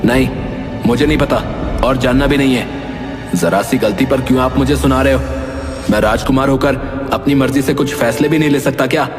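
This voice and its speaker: A man playing a spoiled, defiant young prince in an animated moral story, arrogant and dismissive in manner. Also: medium pitch, a little fast, long pauses, lightly acted. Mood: petulant, dismissive. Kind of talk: dramatic animated story dialogue. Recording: echoey hall reverb, music behind.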